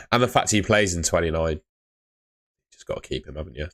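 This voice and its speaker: A young man chatting live with viewers on a stream, laid-back and chatty, his voice fading and hoarse. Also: low voice, lively intonation, a little fast, a bit bright. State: losing his voice.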